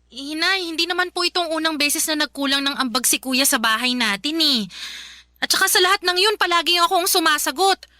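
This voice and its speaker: A woman's voice: high voice, brisk, pushed and bright, no echo, studio quality. A woman playing a weary, respectful but aggrieved working daughter in a Tagalog radio drama, politely pleading and protesting.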